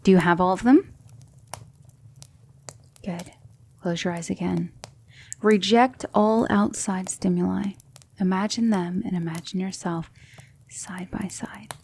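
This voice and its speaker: Woman as a gentle, creaky-voiced demonic mentor. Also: on the low side, steady tone, slight background noise. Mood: patient, instructive, then hushed, coaxing. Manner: measured, instructive, hushed. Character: gentle demonic female mentor of magic, patient and reassuring. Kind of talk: ASMR roleplay, soft speech slipping into whispers.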